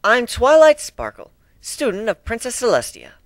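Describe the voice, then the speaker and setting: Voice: medium pitch, wildly swooping pitch, normal speed, no echo, pitched above the performer's own voice. A performer voicing a bookish, eager and easily flustered female unicorn student in a cartoon fan story. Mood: proud.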